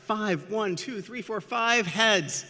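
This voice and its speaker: A man's voice: medium pitch, huge pitch swings, measured pace, a bit bright, exaggerated voice. A man voicing a haughty, impatient young woman who proudly declares she will be queen, in storytelling style. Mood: alarmed, urgent. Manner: imperious, emphatic, declaring. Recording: long reverberant hall sound.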